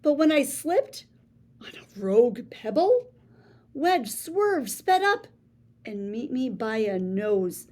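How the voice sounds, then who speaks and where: A woman reading a children's picture book aloud in a playful, theatrical way, with big swings between soft and showy lines. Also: on the high side, big pitch swings, takes it slowly. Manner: boastful first-person storybook voice. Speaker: read-aloud storyteller.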